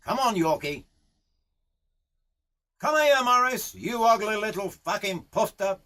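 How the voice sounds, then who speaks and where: A man voicing a gruff, foul-mouthed riding boss who barks blunt orders and goads. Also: high-pitched, expressive, a little slow, pitched above the performer's own voice, full character voice. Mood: bluff, friendly. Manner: loud, barking, swearing, blunt.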